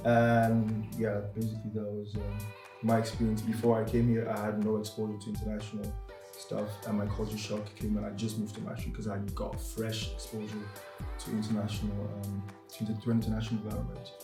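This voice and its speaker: Young man from Cameroon, channel host, jokey and relaxed, roomy sound with soft music under him. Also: lowish voice, a little fast. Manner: joking, playful. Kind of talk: casual vlog chat. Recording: echoey room with music behind.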